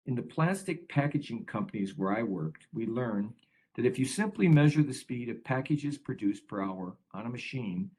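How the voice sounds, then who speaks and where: Male webinar presenter, husky tone, measured and earnest delivery of prepared stories and discussion. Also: medium pitch, a little mellow, poor audio. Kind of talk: prepared story reading and discussion.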